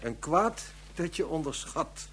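A man's voice: a little high, very animated, takes it slowly, a bit bright, slight background noise, exaggerated voice. A man playing a grave, articulate police inspector in a science fiction radio drama, explaining things in rhetorical questions. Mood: grave, earnest.

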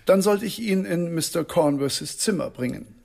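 A man's voice: on the high side, animated, moderate pace, vocal fry, darkish tone. An actor playing a formal, deferential butler in a German radio drama, stiff and precise in his answers. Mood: formal, dutiful.

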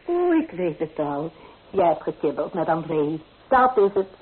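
A woman's voice: on the low side, big pitch swings, a little slow, husky, phone-quality sound, exaggerated voice. An actress playing a practical, anxious middle-aged mother in a Dutch radio drama, genteel, soothing and admonishing. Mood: concerned. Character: practical, anxious, proper mother of a bride, conventional. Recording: hissy, band-limited old radio recording.

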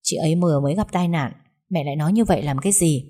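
Woman voicing a soft-spoken younger brother. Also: sits low. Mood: soothing. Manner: soft, slow, soothing. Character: gentle younger brother, soothing young man.

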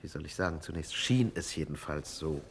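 Deep-voiced, hesitant doctor. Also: male voice, gravelly, a little hiss or hum. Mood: grave, hesitant. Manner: halting, deliberate, formally polite. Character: courteous, hesitant, grave male doctor. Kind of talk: 1950s German radio play acting.

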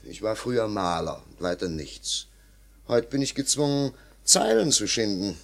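A disillusioned artist turned hack writer in a radio drama. His voice is on the low side, and he speaks with measured, rueful deference, as someone full of self-doubt. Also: very animated, creaky, exaggerated voice. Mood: rueful, resigned. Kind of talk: German radio play acting.

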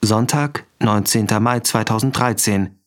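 An actor as a neutral announcer of dates and places in a radio drama, speaking tersely. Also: male voice, lowish voice, fairly even intonation, a little slow, close to an everyday voice. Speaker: announcer of scene headings.

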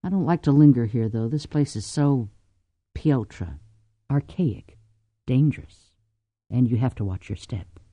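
Man voicing a wry, mellow, sharp-tongued mother. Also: medium pitch, lively intonation, unhurried, pauses often, no room sound. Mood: wry, exasperated. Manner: wry, exasperated. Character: anxious, sharp-tongued mother, dryly teasing aristocratic woman. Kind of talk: audiobook character voice.

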